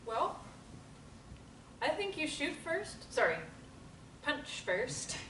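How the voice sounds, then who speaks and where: A woman's voice: sits high, exaggerated voice. A woman reading a prim, composed therapy patient. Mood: measured. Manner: calm, measured, politely cutting. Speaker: table-read actor. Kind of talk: script table reading. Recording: roomy, distant mic, background noise.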